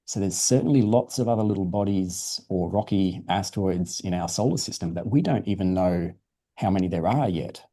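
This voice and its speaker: Man, low pitch, very dark mellow tone, science presenter, informal explanations, encouraging. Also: moderate pace. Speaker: science presenter answering questions. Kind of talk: informal live science explanation for young audience.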